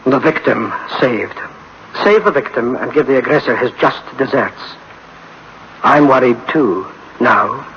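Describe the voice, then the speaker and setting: An actor playing a worried, guilt-ridden scientist in a science fiction radio drama, speaking in tense, clipped phrases. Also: male voice, medium pitch, lively intonation, measured pace, lightly acted. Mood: grave, worried. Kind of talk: dramatic sci-fi radio acting. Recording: dull, faintly noisy old radio recording.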